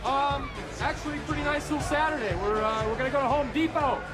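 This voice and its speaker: A man's voice: very high voice, quick pace, poor audio. An actor playing a mild, earnest man chatting casually about humdrum errands in a comedic film scene. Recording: film clip with music and crowd noise.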